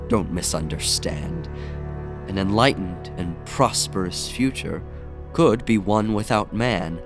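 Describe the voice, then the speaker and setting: An actor playing a brooding, aloof messiah in an audio drama, speaking gravely and deliberately. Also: male voice, sits low, lively intonation, music in the background, heavily acted. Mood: ominous. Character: brooding male messiah, aloof puppet of cosmic powers.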